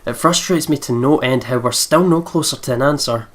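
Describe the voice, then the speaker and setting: A man's voice: medium pitch, a little fast. A man reading a visual novel aloud as a let's player, with sweary, joking asides. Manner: sweary, jokey.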